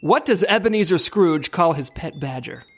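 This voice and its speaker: A woman's voice: lowish voice, animated, moderate pace. A woman playing an officious, easily exasperated agent in charge in a comic audio drama, with a fussy, insistent delivery.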